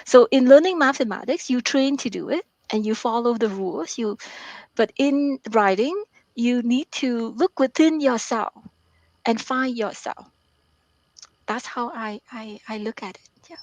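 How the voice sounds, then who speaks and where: Soft-spoken hesitant woman, dry sound. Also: a little high, unhurried, no room sound. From Vietnam. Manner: hesitant, many fillers and repeated words. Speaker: author and math professor, guest. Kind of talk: interview answers.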